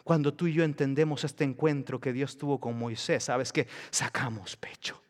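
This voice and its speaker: A man preaching a sermon as pastor, swinging between hushed passages and loud, emphatic peaks. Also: medium pitch, fairly even intonation, moderate pace. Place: church hall. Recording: reverberant church hall.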